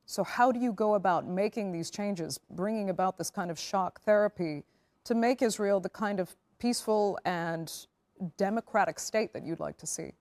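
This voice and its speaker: A woman's voice: medium pitch, normal speed, darkish tone, dry recording. A woman interviewer asking probing questions and laying out counterarguments at length.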